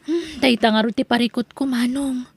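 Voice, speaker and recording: A woman's voice: fairly high pitch, moderate pace, slightly rough. A woman playing a worried younger sister who is a mother, in an amateur audio drama.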